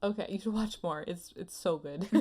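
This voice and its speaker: A woman's voice: medium pitch, moderate pace, dark tone. A woman co-hosting a podcast, chatting casually in an effusive, emphatic, rambling way. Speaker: podcast co-host. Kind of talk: casual opinionated chat.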